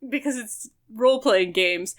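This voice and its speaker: A woman's voice: fairly high pitch, a little slow. A woman co-hosting a podcast, talking in a casual, informal and chatty way. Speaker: podcast co-host.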